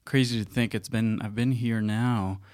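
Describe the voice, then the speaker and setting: A man's voice: on the low side, fairly even intonation, moderate pace, darkish tone. A man in his thirties, born in Costa Rica with Spanish as his first language, talking earnestly and conversationally in a podcast studio, with many fillers and restarts. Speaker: podcast producer and guest speaker.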